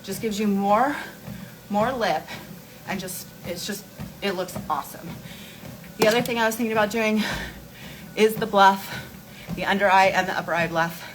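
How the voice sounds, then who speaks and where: A woman's voice: mid-range voice, moderate pace, pauses often. Candid woman chatting over noisy live audio. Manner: candid, informal, emphatic with repeated words. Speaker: host of a beauty and wellness live stream. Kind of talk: casual live chat to followers.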